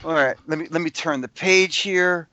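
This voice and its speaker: A man with a bright, fairly high voice rants bluntly on a podcast, swearing freely and full of sarcasm. The sound is dry and close, but a little dull and lo-fi. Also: moderate pace, no room sound. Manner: blunt, profane, sarcastic ranting. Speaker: podcast co-host. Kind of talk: casual podcast chat.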